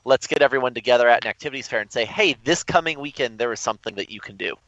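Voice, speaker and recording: A man's voice: medium pitch, racing, fairly bright tone, no echo. Young man guest, dull dry audio. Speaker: guest, event organizer. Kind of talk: conversational interview answers.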